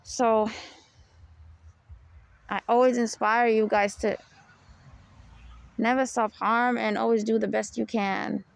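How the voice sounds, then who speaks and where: A young woman with a deep, low voice and a gravelly edge talks through a personal podcast. She rambles and confides, and often drops in "you know" and small laughs. The sound is dry and close, with no room around her. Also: flat delivery, slow pace, long pauses, no room sound. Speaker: podcast host.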